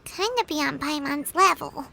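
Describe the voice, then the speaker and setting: A woman's voice: sits high, lively intonation, unhurried, vocal fry, bright, forceful tone, slight background noise, exaggerated voice. A woman voicing a tiny, chatty, fairy-like female companion in a video game, childlike and referring to herself in the third person. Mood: curious.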